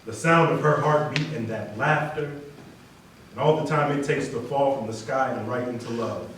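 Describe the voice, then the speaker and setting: A man's voice: mid-range voice, normal speed, fairly bright tone. A man delivers a dramatic spoken-word reading. He is heard from a distance in a heavily echoing room, over faint background noise. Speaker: wedding reader. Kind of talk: dramatic spoken-word recitation, reader's theater. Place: large echoey room at a wedding. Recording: echoey hall, distant mic, faint noise.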